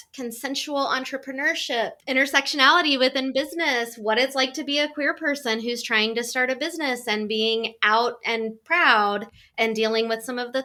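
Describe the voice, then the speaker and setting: A woman's voice: on the high side, bright, forceful tone. A woman in her forties from Kansas, a guest on a podcast, talking openly in long articulate runs. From Kansas, USA. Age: 40s. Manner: open, articulate, talks in long flowing runs. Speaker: guest, life coach. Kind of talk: casual interview chat.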